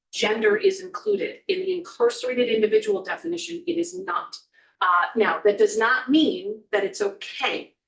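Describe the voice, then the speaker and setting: A woman's voice: extremely high pitch, creaky, fairly bright tone, recorded from a distance. A woman testifying before a committee, explaining in an earnest way with frequent ums. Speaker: corrections official testifying. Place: legislative hearing room. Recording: echoey hearing room, voice off-mic.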